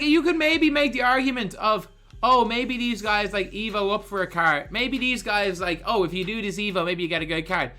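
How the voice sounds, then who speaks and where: A man's voice: very high voice, sharp tone. Young man ranting with a lisp. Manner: exasperated, ranting. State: swollen tongue. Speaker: gaming streamer. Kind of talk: ranting gameplay commentary.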